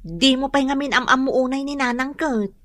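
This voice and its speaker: A woman playing a pregnant young wife who gently reasons and coaxes, in an Ilocano radio drama. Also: high-pitched, normal speed, no echo. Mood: coaxing.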